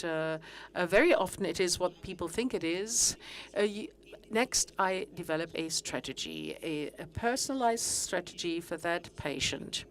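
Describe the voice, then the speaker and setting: A middle-aged woman giving a conference presentation, speaking haltingly with frequent pauses and fillers. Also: lowish voice. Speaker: conference speaker on fitness training.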